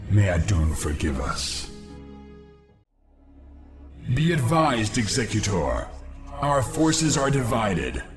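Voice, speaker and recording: Earnest young alien commander. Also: male voice, on the low side, sing-song. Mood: somber. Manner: earnest, deliberate. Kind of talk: video game voice acting. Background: game music.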